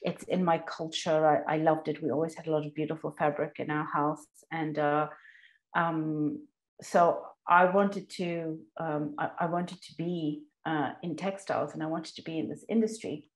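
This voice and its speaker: Woman from south africa, kinda low voice, podcast guest thinking out loud, rephrases a lot. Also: flat delivery, moderate pace. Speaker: university lecturer, podcast guest. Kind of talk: reflective interview answers.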